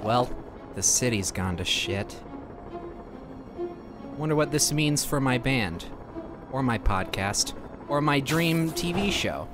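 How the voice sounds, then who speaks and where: A man's voice: medium pitch, animated, takes it slowly, long pauses, over loud music. A man improvising a comedic game fandub as a neurotic, rambling young male superhero with a whiny, dramatic delivery. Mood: rambling, flustered.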